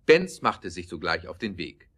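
A man narrating a scripted audio drama in a measured storytelling manner. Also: sits low, big pitch swings, fairly bright tone, husky.

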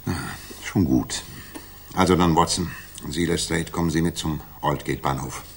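An actor playing a brilliant, brisk and commanding detective in a 1960s German radio drama, musing and deliberate as he speaks with authority. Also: a bass voice, gravelly, slight background noise. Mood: brisk, businesslike.

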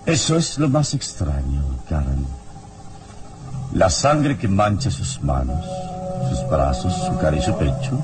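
Heroic adventurer for radio drama: very deep, commanding, calm yet highly inflected, grave. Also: male voice, over loud music, exaggerated voice. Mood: grave, reassuring. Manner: measured, authoritative, solemn dramatic delivery. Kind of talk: Spanish-language radio serial acting.

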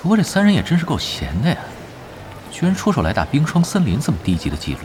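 A man's voice: a little high, huge pitch swings, quick pace, darkish tone, a little hiss or hum. An actor playing a patient, teasing veteran gamer who coaches his team in an audio drama. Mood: musing, wry. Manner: instructive, drily teasing. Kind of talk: Chinese radio drama acting.

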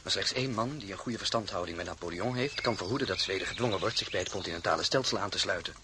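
An actor playing a young count and military officer in a radio drama, polite, formal and well-spoken. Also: male voice, low voice, fast, lightly acted. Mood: earnest. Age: young adult. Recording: noisy outdoor ambience behind dialogue.

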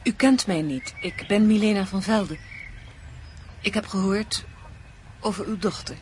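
A woman's voice: mid-range voice, darkish tone, a little hiss or hum, natural voice. An actress playing a troubled, guarded woman in a radio drama, speaking hesitantly. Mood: polite, guarded. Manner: hesitant, halting. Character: troubled, distrustful woman making guarded inquiries. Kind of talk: Dutch radio drama acting.

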